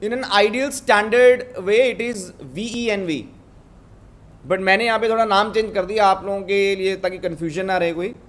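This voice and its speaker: High-voiced Indian man teaching, unhurried. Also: fairly even intonation, fairly bright tone. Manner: switches between Hindi and English; instructive, often checks understanding with tag questions. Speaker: programming instructor. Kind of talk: step-by-step live coding lesson.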